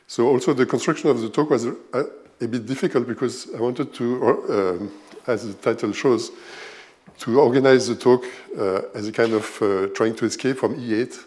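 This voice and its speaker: A man's voice: mid-range voice, moderate pace, a little mellow. An older man with a German accent giving a seminar lecture in English, hesitant, with many fillers and restarts. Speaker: guest speaker at a physics seminar. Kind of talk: academic lecture.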